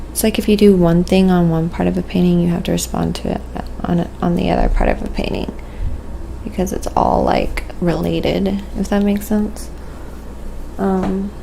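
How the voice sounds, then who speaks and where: Female ASMR art streamer: soft, leisurely pace, strong vocal fry, casual and appreciative delivery. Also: fairly low pitch, slight background noise, poor audio. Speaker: live streamer, painting artist. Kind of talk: casual livestream chat with ASMR painting narration.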